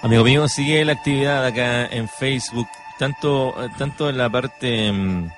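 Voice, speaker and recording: A man's voice: mid-range voice, normal speed. A man hosting a casual radio chat, often stopping to search for his words. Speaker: radio host. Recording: music under the talk.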